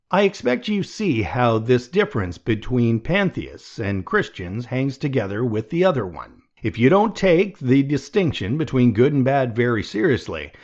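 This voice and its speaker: A man reading a nonfiction audiobook aloud in a measured, deliberate way. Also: mid-range voice, a little mellow, lo-fi sound. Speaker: audiobook reader.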